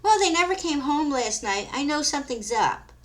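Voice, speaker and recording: A woman playing a worried mother calling about her missing daughter in a told story. Her voice rises high and loud, tight with anxiety. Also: expressive, moderate pace. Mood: anxious.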